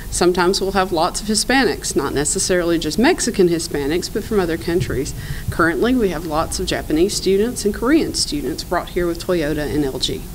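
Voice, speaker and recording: A woman with a lowish voice, speaking conversationally in an interview. She fills pauses with "um" and "you know," and faint ambient noise sits behind her. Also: low-quality recording.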